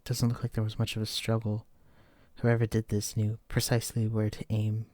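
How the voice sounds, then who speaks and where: A man's voice: lowish voice, monotone, normal speed, darkish tone, no room sound, close to an everyday voice. A man playing a wary, protective psychic escapee in an immersive audio drama, speaking directly to a companion. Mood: wary, somber. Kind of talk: immersive roleplay audio drama, addressing the listener.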